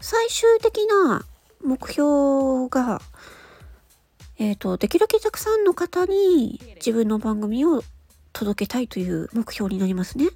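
A woman's voice: sits high, very animated, a little slow, a little mellow, no echo. A woman hosting an audio show, explaining things step by step in a casual, polite way.

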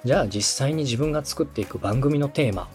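A man's voice: medium pitch, lively intonation, fast, dark tone, soft background music. A man hosting a podcast, explaining step by step in a polite, instructive coaching style. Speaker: podcast host and radio director giving advice.

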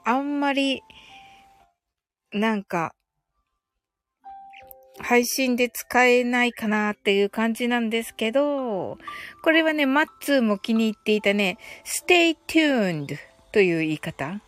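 A woman hosting a casual, chatty livestream English lesson, often giggling as she talks. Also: on the high side. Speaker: livestream host teaching English phrases. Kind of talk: casual chatty livestream, reading listeners' comments.

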